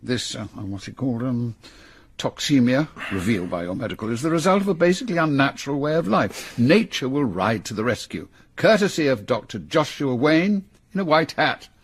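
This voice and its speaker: An actor with a British accent playing an older, bossy spy chief full of crankish zeal in a radio drama, husky and brusque. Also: male voice, mid-range voice, expressive, brisk. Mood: zealous, commanding. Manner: brusque, commanding, pontificating.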